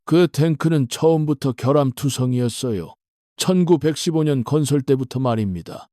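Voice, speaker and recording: 남자 성우가 연기하는 부드러운 음색의 노인 노동자. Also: male voice, medium pitch, lively intonation, normal speed, mellow, dry recording, clean studio sound, natural voice. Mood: remorseful. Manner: hesitant, deferential. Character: conscience-stricken old male former company worker, humble. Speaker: voice actor. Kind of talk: dramatized history acting.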